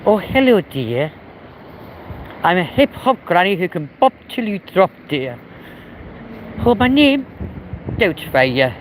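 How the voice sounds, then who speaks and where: A man putting on the voice of a sweet elderly granny nanny in a high quavering falsetto. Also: very animated, takes it slowly, pauses often, slight background noise, full character voice, low-quality recording. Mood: doting.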